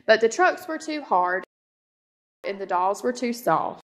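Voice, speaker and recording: A woman's voice: medium pitch, animated, measured pace. Woman reading a storybook in a reverberant room. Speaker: storybook reader. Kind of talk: children's read-aloud narration.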